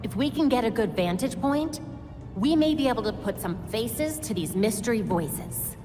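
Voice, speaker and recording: An actress playing a sharp young vigilante investigator in a video game, with an American accent and a focused, inquisitive delivery. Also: female voice, on the high side, animated, normal speed, close to an everyday voice. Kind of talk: video game cutscene acting. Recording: long reverb, music behind.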